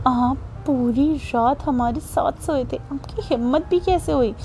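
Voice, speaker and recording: Woman playing a distressed young woman, indignant, accusing, high voice, mellow, storybook. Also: a little slow, slight background noise. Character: distressed young woman confronting her captor.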